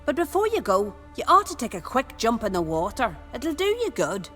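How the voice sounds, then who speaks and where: Village woman, bright forceful voice, animated, bossy yet kind, game dialogue. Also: on the high side, normal speed, soft background music. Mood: kindly firm. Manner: plain-spoken, bossy but kind. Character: brisk, motherly, no-nonsense village woman. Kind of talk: video game dialogue acting.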